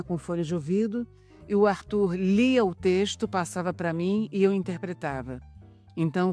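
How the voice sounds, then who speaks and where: A Brazilian woman from a radio drama cast, explaining the production in measured interview talk. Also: on the low side, dark tone. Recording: music behind the voice.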